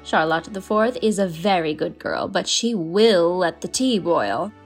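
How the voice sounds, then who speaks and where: A woman's voice: fairly low pitch, animated, unhurried, slightly rough, faint music behind, exaggerated voice. A woman reading as a whimsical, independent lady of forty-five who loves to pretend, in a storybook. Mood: playful. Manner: fond, playful, pretends freely. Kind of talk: audiobook character reading.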